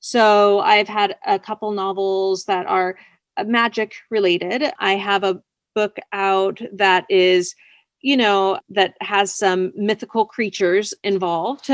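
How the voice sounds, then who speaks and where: A woman's voice: medium pitch, steady tone, takes it slowly, no room sound, dull audio. A woman writer speaking casually as an interview guest, chatty, often laughing as she talks. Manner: chatty, laughs while talking.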